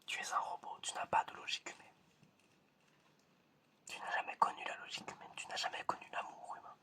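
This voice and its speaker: A man playing a stern, menacing examiner in an ASMR roleplay, whispering slowly and deliberately. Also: sharp tone, close to an everyday voice. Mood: stern, solemn. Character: stern, menacing examiner testing a suspected robot.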